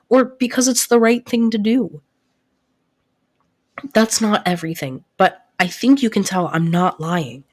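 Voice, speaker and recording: A woman playing a devoted, protective best friend in an intimate audio roleplay, soft-spoken and earnest, easily flustered. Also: medium pitch, close to an everyday voice. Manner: earnest, reassuring, halting when flustered.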